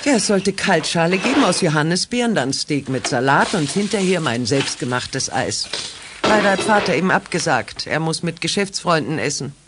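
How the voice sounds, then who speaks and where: A woman playing a kind, thoughtful mother with a low, husky voice in a 1980s children's radio drama, speaking earnestly. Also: background noise. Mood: friendly. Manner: earnest, measured, expressive.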